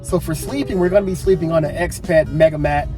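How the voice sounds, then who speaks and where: Man, casual vlog chat, talks right to the viewers, a bit high-pitched. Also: a little fast, music in the background. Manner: relaxed, chatty, talks straight to viewers. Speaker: family vlogger.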